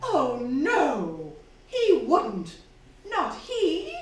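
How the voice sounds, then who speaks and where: Echoey distant lady acting as bossy scared wife, high voice all over the place, radio play, demanding. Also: huge pitch swings, drawn-out words, crowd noise, heavily acted. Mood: horrified. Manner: agitated, demanding, theatrical. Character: shrill, domineering, fearful and suspicious wife. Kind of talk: stage radio drama acting. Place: theatre stage before a live audience. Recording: very echoey hall, distant, faint noise.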